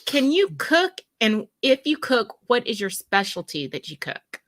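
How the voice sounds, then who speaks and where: A woman, co-hosting a casual live chat in an animated, chatty way. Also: a little high, a little slow, dry recording, studio quality. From West Texas. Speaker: co-host.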